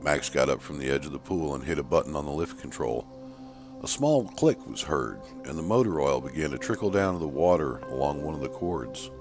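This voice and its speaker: A man's voice: rumbling low, lively intonation, a little fast, music in the background. A man reading a scripted horror story as an audiobook narrator.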